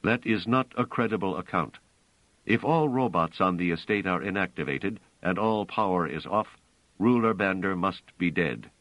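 A man voicing a cold, logical male guardian robot in a storybook reading, speaking in a measured, incisive way. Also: deep voice, fairly bright tone, dry recording, low-quality recording.

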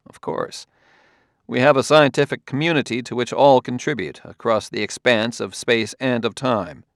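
A man voicing a patient middle-aged police detective in an audiobook, asking questions quietly and cautiously. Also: mid-range voice, normal speed, no echo, clean studio sound, close to an everyday voice.

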